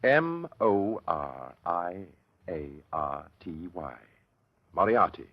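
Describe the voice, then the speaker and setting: A man's voice: deep voice, very animated, slow, exaggerated voice. An actor playing a suave, cunning male criminal mastermind with urbane, mockingly polite delivery in 1940s radio drama style. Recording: dull, muffled vintage radio recording.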